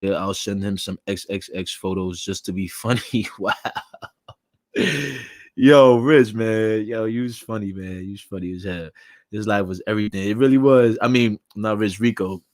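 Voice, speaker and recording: Raspy New man hosting a live show. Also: fairly low pitch, moderate pace, a little mellow, no echo. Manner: loose, laid-back slang, thanking viewers by name. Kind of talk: casual livestream chat.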